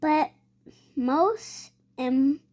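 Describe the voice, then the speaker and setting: A child with a high voice reads a storybook aloud very slowly. The delivery is halting and hesitant, with words sounded out and repeated. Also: darkish tone. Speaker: young reader.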